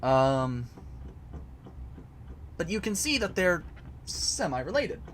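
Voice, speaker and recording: Slow, halting man, higher voice. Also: big pitch swings, fairly bright tone, a little hiss or hum. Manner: hesitant, many restarts and fillers. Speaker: host answering chat. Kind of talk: casual livestream explanation. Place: home basement recording area.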